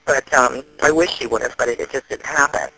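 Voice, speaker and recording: A man, an activist, explaining things in a serious phone conversation, often halting and restarting. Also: medium pitch, pushed and bright, slightly rough, no echo, rough recording.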